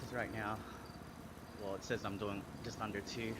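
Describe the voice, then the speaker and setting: A man's voice: fairly low pitch, level tone, moderate pace, heavy background noise. A man in his thirties with an Australian accent, chatting casually on a live stream while riding an indoor bike trainer, a little out of breath. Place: home indoor cycling setup.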